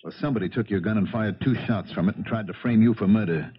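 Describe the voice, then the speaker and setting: An actor playing a shrewd, calm police lieutenant, measured and authoritative, in a 1970s radio drama. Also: male voice, lowish voice, close to an everyday voice. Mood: businesslike. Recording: muffled 1970s radio broadcast.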